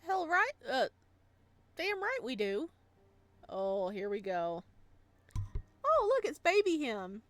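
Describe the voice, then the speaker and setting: A woman giving informal let's play commentary, reacting excitably and thinking out loud as she goes. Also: high voice, takes it slowly, pauses often, dry recording. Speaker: gaming video creator playing a visual novel. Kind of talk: let's play commentary, reading dialogue aloud.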